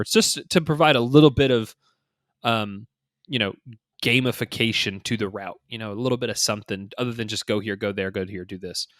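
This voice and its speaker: A man hosting a casual gaming podcast, thinking out loud in an informal, slangy, speculative way. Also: on the low side, no echo, clean studio sound. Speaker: podcast host. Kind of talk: casual two-person podcast discussion of a mobile game.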